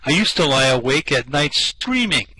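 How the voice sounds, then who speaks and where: A man's voice: mid-range voice, sing-song, normal speed, pushed and bright, slightly rough, no echo, full character voice, rough recording. A man playing a hapless, anxious Englishman stranded in space in a comic radio play, plaintive, exasperated and dry. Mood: bewildered, glum. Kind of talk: comic science fiction radio play acting.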